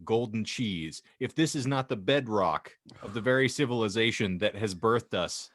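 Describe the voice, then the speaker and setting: A man's voice: on the low side, moderate pace, fairly bright tone, dry recording, studio quality. A man hosting a casual comedy podcast, bantering and speechifying with mock-solemn grandeur. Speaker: podcast host.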